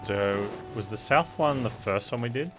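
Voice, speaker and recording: A man's voice: mid-range voice, moderate pace. A man giving casual live gameplay commentary, rambling as he thinks aloud. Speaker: game streamer. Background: game music.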